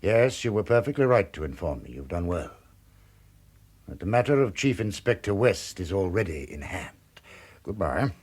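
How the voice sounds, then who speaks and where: An actor playing a cold, commanding criminal mastermind in a radio drama, curt and imperious. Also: male voice, deep voice, sing-song, normal speed, darkish tone, slightly rough, heavily acted. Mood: coldly controlled.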